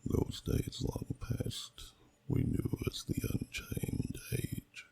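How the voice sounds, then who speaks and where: A man voicing an ancient, solemn dragon who slowly tells a story in a deep, gravelly, breathy half-whisper, in ASMR style. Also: mellow. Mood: wistful, reverent. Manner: slow, solemn, deliberate, archaic phrasing. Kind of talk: ASMR storytelling, scripted narration.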